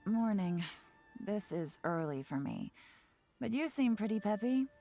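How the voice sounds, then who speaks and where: Mellow, teasing spymaster. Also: female voice, mid-range voice, big pitch swings, a little slow. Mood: relaxed, sleepy. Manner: teasing, smooth, self-assured. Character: shrewd, playful female spymaster, teasing and confident. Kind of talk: scripted video game character dialogue. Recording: game music behind the voice.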